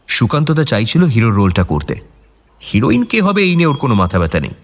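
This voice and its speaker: A man playing a defensive, agitated film actor under suspicion, protesting emphatically in a radio drama. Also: mid-range voice. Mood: indignant.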